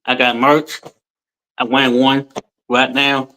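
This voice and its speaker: A man's voice: medium pitch, level tone, takes it slowly, bright, forceful tone, low-quality recording. A man in his forties, a guest telling his story in casual chat, rambling and repeating himself, with informal colloquial speech, over a video call. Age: 40s.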